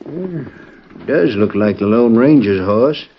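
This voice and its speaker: An actor playing a folksy, nosy town sheriff with an American West accent in an old-time radio western. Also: male voice, mid-range voice, animated, takes it slowly, very noisy. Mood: curious, easygoing. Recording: muffled old radio, busy background voices.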